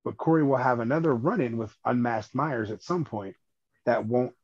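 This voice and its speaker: A man's voice: medium pitch, normal speed, a little mellow, slightly rough, highs cut off. A man co-hosting a horror podcast, chatting casually with many stammered restarts and casual swearing. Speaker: podcast co-host. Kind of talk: casual horror movie chat.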